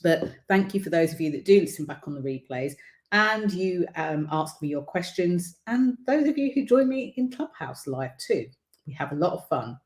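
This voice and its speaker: A woman hosting a livestream as a visibility coach, speaking casually to viewers with a croaky throat and many ums. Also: on the low side, expressive, normal speed, a little mellow. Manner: chatty, many ums, encouraging. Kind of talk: casual livestream talk to camera.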